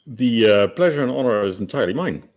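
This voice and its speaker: A man's voice: medium pitch, animated, quick pace, darkish tone. A middle-aged man from the Netherlands, giving a technical webinar talk with many hesitations and fillers. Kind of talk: technical presentation. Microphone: video call. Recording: muffled lo-fi video-call audio.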